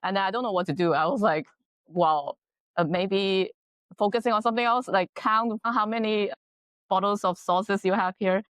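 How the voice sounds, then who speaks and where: A woman's voice: mid-range voice, normal speed, no room sound. A woman from China, a native Chinese speaker, hosting an interview and asking curious questions in English with small grammar slips. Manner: curious, warm laughs, occasional grammar slips. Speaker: podcast host, interviewer. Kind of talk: interview questions, casual chat.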